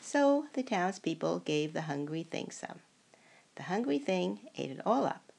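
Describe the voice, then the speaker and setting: Older woman, storybook read-aloud. Very creaky, husky voice, unhurried and swooping in pitch. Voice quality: gravelly, creaky. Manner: slow, expressive, acts out dialogue. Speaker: storybook reader. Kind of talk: animated children's picture-book read-aloud.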